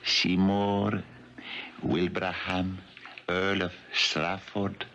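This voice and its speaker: An actor playing a mysterious, suave guru in a 1970s radio mystery, speaking in a halting, ceremonious manner. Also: male voice, sits low, completely flat delivery, creaky, fairly bright tone, heavily acted. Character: mysterious male psychic guru from the East, suave and theatrical. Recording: dull, noisy vintage radio recording.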